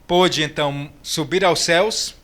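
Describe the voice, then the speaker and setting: A man from northeastern Brazil with a Brazilian accent, interpreting a sermon with quick, fluent phrasing and small restarts. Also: on the high side, a bit bright. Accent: Brazilian Portuguese. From Ceará, Brazil. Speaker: missionary interpreter.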